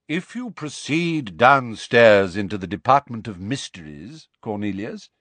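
A man voicing an old, calm, commanding male wizard who speaks slowly and gravely. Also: fairly low pitch, dry recording, heavily acted. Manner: slow, grave, measured.

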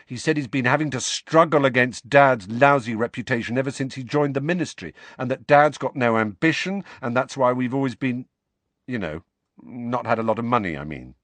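A man's voice: mid-range voice, moderate pace, fairly bright tone, dry recording, voice pushed up, natural voice. A man reading an audiobook, voicing a glum teenage boy who talks in a casual, blunt, slangy way. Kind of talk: audiobook character voice.

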